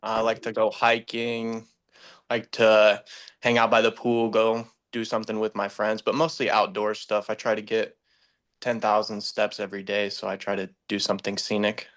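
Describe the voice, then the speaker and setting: A man's voice: lowish voice, completely flat delivery, normal speed, a bit bright. A man in his twenties giving a casual self-introduction as a dating show contestant. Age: 20s.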